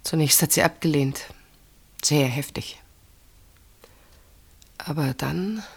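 An actress in a German radio play voicing an earnest, mature woman who speaks deliberately and confidingly, with weighted pauses. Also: sits low, creaky, breathy edge, full character voice. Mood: subdued, insistent. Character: earnest older woman, sister pleading for a marriage.

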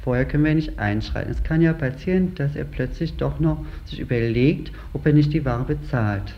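A man's voice: a little high, normal speed. A man explaining store theft procedures in a matter-of-fact interview manner. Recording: dull lo-fi tape.

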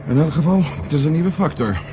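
A man's voice: a little high, expressive, fairly quick, close to an everyday voice. A man acting a stubborn, suspicious journalist and former astronaut in a radio drama, insistent and wry. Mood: worried, earnest. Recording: muffled, noisy 1970 radio recording.

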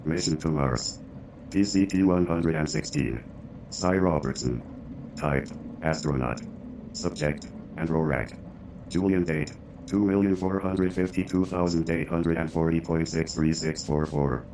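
A man's voice: very deep voice, a little slow. A man playing an impersonal mission-log voice in a radio play, reciting formally in clipped phrases. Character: impersonal male voice reading a space mission log. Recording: clear noise bed behind the voice.

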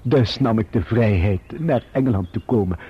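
An actor playing a formal, servile and self-serving manservant in a Dutch radio drama, with a Netherlands accent and measured delivery. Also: male voice, fairly low pitch, slightly rough. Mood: formal, self-justifying. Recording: muffled old radio drama recording.

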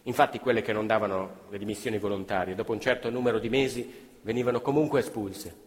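A man's voice: fairly low pitch, fairly even intonation, fast talker. A man narrating a stage play in a dramatic way, building tension as the story goes on. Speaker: theatre actor and narrator. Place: theatre stage. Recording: live stage show with long reverb and music.

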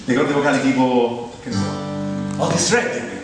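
A man's voice: mid-range voice, a little slow. Echoey Roman man performing a comic stage monologue. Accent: Roman Italian. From Rome, Italy. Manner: conversational, hesitant comic delivery with rhetorical asides. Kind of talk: humorous theatrical monologue. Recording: echoey theatre hall from far off, music behind.

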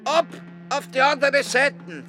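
An impatient old man in a radio play. His voice is high and swoops about, bossy and pedantic, with music behind. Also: animated, slow pace, bright, forceful tone, heavily acted. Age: older.

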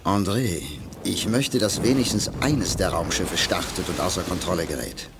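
Low-voiced brisk mission leader. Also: male voice, very noisy. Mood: commanding. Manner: terse, directive. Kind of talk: radio play acting. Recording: faint music behind the voice.

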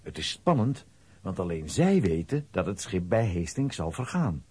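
A man narrating a children's radio play as an expressive storyteller reading a script. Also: deep voice, normal speed, slightly rough, no room sound.

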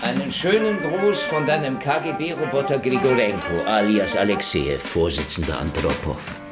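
Casting: male actor as insolent wisecracking rebel in radio drama, mid-range voice, very lively pitch, music underneath. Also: normal speed, lightly acted. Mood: mocking defiant. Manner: sardonic, needling, mock-polite. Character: brash, wisecracking, defiant male intruder interrogating KGB men at gunpoint. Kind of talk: German radio drama acting.

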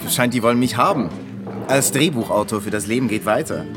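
An actor playing a casual, excitable young aspiring screenwriter in a radio drama, with slangy, colloquial delivery. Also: male voice, mid-range voice, brisk, over music, lightly acted. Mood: modest, pleased. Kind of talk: naturalistic radio drama acting.

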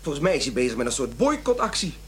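A high, very animated male voice plays a self-righteous, irritable office worker in a radio drama. He talks in wordy, pompous sentences and defends himself at every turn, over a faint hum. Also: fast talker. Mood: defensive.

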